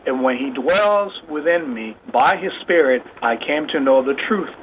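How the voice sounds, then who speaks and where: A man's voice: a little high, expressive, dull audio, a little hiss or hum. Earnest man with a Puerto Rican accent. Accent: Puerto Rican Spanish. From Puerto Rico. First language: Spanish. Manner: earnest, halting with many fillers. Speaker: guest apologist. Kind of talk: radio interview.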